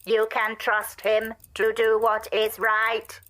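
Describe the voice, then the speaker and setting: A man voicing a slow, polite mechanical man who gives calm advice word by word in a monotone. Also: high-pitched, pitched above the performer's own voice, exaggerated voice. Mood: calm, measured.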